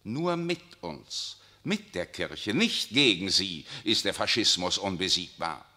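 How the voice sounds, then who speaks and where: An actor playing an urbane, worldly papal nuncio in a 1960s radio drama, speaking with a suave, ironic, lecturing manner. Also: male voice, low voice, sing-song, slow pace, sharp tone, exaggerated voice.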